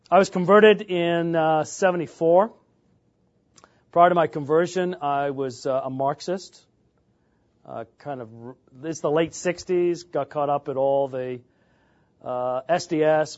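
A man in his fifties, from England, giving an informal lecture on church history, often pausing on filler sounds and restarting. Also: on the high side, lo-fi sound.

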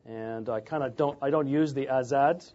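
A man in his fifties, from England, giving an informal lecture on church history, often pausing on filler sounds and restarting. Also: medium pitch, dark tone, dull audio.

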